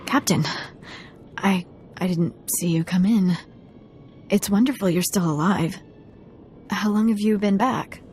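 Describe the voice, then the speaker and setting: A woman's voice: mid-range voice, measured pace, pauses often, a little mellow, a little hiss or hum, lightly acted. An actress playing a scheming, persuasive female informant in a video game, calculating as she bargains. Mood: urgent bargaining.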